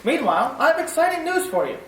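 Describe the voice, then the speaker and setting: A woman's voice: sits high, big pitch swings, fast talker, a bit bright, recorded from a distance. A woman playing an upbeat 1940s radio announcer reading a soap commercial. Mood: salesy. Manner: upbeat, salesy. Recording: echoey room, voice off-mic.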